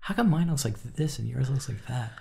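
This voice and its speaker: A man in an everyday mid-pitched voice co-hosts a laid-back chat. He rambles through stories and slips in wry, dry asides. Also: animated, fairly quick, gravelly, a little mellow. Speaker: co-host. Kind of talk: casual chat.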